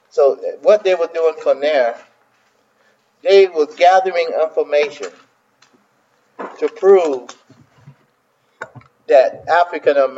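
A man's voice: a little high, darkish tone, highs cut off. A man, a minister and host talking casually, rambling with repeated words and many fillers. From South Carolina. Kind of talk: casual talk to listeners.

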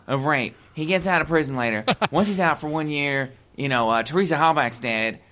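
A man's voice: a little high, fairly quick, pushed and bright. A man imitating a friend who bluntly sums up a documentary in clipped, hesitant bits. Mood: deadpan.

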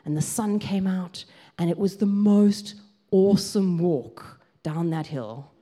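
South african woman preaching, lowish mellow voice, chatty and lively, lots of ums. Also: slow. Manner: lively storytelling, gets excited, lots of ums. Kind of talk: sermon with a personal story.

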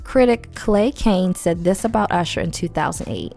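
A woman's voice: fairly low pitch, lively intonation, normal speed, gravelly, a little mellow, faint music behind. A woman hosting a casual podcast, stuffy and losing her voice from a head cold, reading facts with chatty, slangy asides. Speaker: podcast host.

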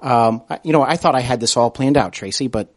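A man with a mid-range voice hosts a conversational interview. He speaks in a halting way, pausing as he builds each thought, and is openly complimentary to his guest. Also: mid-range voice. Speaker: podcast and radio host, interviewer.

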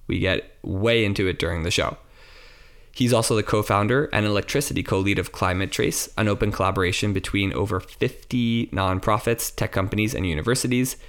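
A man's voice: lowish voice, fairly bright tone. A man hosting a podcast, eager and a bit rambling as he introduces and questions his guest. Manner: eager, rambling, laughs easily. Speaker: podcast host. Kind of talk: enthusiastic interview chat.

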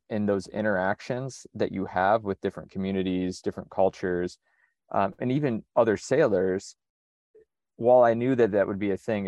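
Low-voiced American man, dry. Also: a little mellow, no room sound. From United States. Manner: reflective, methodical, frequent restarts. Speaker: sailor and interview guest. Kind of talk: conversational interview.

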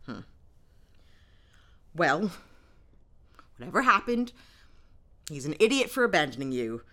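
A woman's voice: low-pitched, big pitch swings, measured pace, fairly bright tone, full character voice. A woman playing a brash, wry, persuasive police sergeant in an audio drama. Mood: warm, persuasive.

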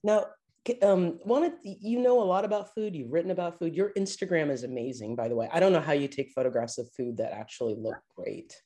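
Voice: medium pitch, fairly quick, mellow. An interview host asking questions in an enthusiastic, conversational way.

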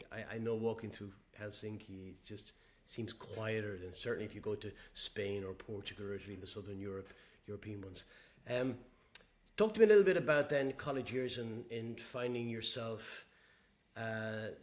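A man's voice: on the low side, steady tone, normal speed, fairly bright tone. A man hosting a podcast, asking questions conversationally and often repeating words as he forms them. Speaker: podcast host, interviewer. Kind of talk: conversational interviewing.

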